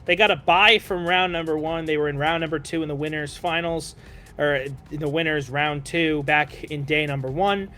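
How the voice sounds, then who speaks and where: A man's voice: fairly high pitch, bright, forceful tone. A man casting a live esports stream, recapping results in a chatty way full of "you know.". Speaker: esports caster.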